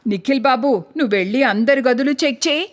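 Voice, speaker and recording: A woman's voice: high-pitched, fast, lightly acted. A woman voicing a stern, bossy older mother-in-law in a cartoon story. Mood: scolding. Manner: scolding, commanding.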